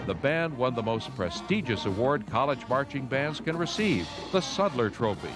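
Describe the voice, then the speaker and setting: Male newsreel narrator, American, mid-range voice, scripted read with music clearly behind. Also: mid-range voice, big pitch swings, normal speed.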